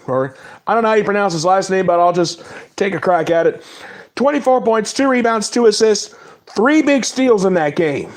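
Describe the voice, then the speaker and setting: A man with a cold giving a casual spoken recap of basketball results, rattling off stats in quick lists. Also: high-pitched. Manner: reads stats in quick lists, apologizes for mispronouncing names. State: has a cold. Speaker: sports talk host. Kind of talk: casual sports recap monologue.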